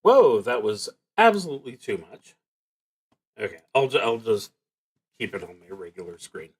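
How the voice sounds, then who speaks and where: A man casually narrating a solo roleplaying game as a streamer, thinking aloud in a halting way and muttering to himself between announcements. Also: medium pitch, sing-song, husky.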